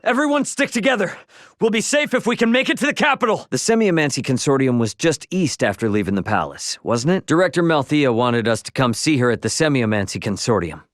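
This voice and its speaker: A man's voice: on the high side, lively intonation, brisk, no echo, clean studio sound, close to an everyday voice. A man playing a brash, casual young video game adventurer, slangy and wry, impatient but good-hearted. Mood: urgent. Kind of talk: video game character voice lines.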